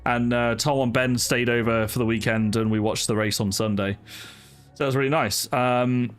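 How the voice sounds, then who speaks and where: A man's voice: mid-range voice, steady tone, moderate pace. A young man chatting casually with viewers on a live stream, greeting people by name and talking with plenty of fillers. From not from Wales. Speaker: sim racing streamer. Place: home streaming setup. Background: music playing.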